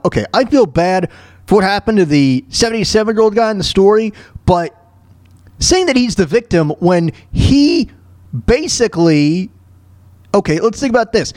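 A man hosting a talk show with casual off-the-cuff news commentary, halting and repeating himself in an exasperated way. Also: high voice, sing-song, a little mellow, no room sound, studio quality. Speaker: podcast host commenting on news clips.

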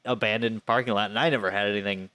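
A man's voice: lowish voice, fairly even intonation, fast, sharp tone, clean tone, no echo. Thirty-year-old American man, dry close sound. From Flint area, Michigan, US. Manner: informal, swearing. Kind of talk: casual chat, personal storytelling.

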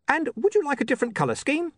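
A man's voice: very high voice, wildly swooping pitch, fast talker, dry recording, studio quality, pitched above the performer's own voice, heavily acted. A man voicing an eager, desperately cheerful imp gadget that talks in formulaic customer-service patter. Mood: desperately helpful.

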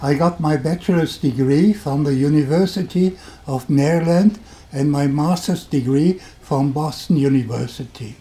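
An older man with a German accent, telling his own story on a podcast in a deliberate, wry and dryly sarcastic way. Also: a little high, warm tone. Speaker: podcast host. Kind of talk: first-person storytelling podcast.

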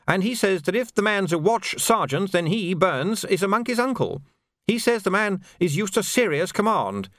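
A man voicing a cautious army captain who gives measured, dry advice. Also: high-pitched, a bit bright, dry recording, voice pushed up, heavily acted.

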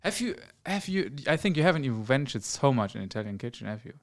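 A man's voice: medium pitch, big pitch swings. Eager, agreeable podcast host man. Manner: eager, chimes in with quick agreement. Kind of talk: casual conversation.

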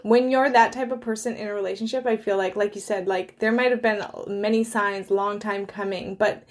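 A woman's voice: mid-range voice, fairly even intonation, moderate pace. Chatty woman in her thirties hosting. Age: 30s. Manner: chatty, hesitant, many likes and fillers. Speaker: host. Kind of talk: conversational interview.

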